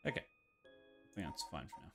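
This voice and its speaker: A man casually commentating on a game as he plays, thinking aloud and reading on-screen text. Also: moderate pace. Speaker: game streamer. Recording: game music behind the voice.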